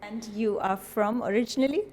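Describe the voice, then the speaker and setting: A woman's voice: on the high side, moderate pace, a little mellow. A woman hosting a TV interview, enthusiastic and asking questions with some "uh" and "um" fillers. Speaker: TV show host. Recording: reverberant hall with faint ambience.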